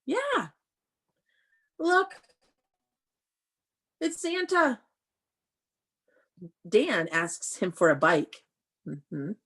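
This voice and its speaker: A woman teaching young children through a read-aloud, explaining in an animated way and often putting questions to them. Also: a little high, drawn-out words, long pauses, breathy edge. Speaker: elementary school teacher.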